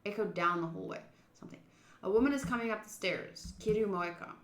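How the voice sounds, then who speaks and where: Bright-voiced woman streamer in a roomy space. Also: medium pitch, brisk. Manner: informal, blunt, swearing. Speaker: streamer playing a visual novel. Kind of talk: livestream commentary mixed with reading game text aloud.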